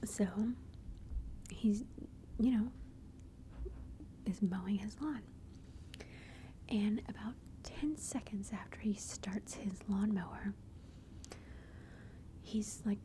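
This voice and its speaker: A woman telling a personal story in a soft, chatty whisper, ASMR style. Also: medium pitch, takes it slowly, long pauses, a little hiss or hum. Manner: soft unvoiced whisper throughout; chatty, conversational asides.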